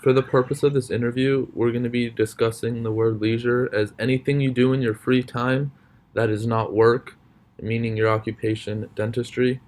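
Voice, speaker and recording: A young man conducts an interview, asking simple questions in a hesitant way and filling pauses with lots of ums. His voice sits at an ordinary middle pitch. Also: flat delivery, darkish tone.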